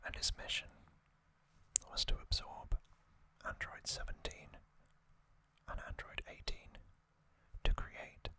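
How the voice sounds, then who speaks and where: Male ASMR host for whispered storytelling: very slow, breathy and crisp, delivered in short hushed phrases. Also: poor audio.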